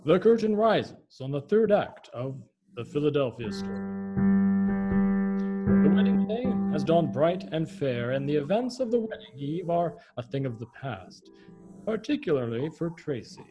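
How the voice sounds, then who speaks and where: A man's voice: on the high side, very animated, moderate pace, dark tone, faint music behind. A man narrating a radio play in a theatrical, scripted style.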